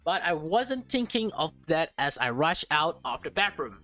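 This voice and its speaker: Expressive woman streamer reading a visual novel, music behind. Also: deep voice, normal speed, dry recording. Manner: expressive, interjecting reactions, stumbling over words. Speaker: let's play streamer. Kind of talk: visual novel read-aloud with casual asides.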